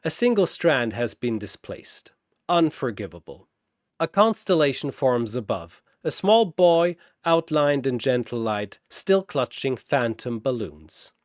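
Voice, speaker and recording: A man's voice: medium pitch, big pitch swings, measured pace, no echo, studio quality. A man giving theatrical, scripted narration of a fantasy battle story. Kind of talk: dramatic scripted fan-fiction battle narration.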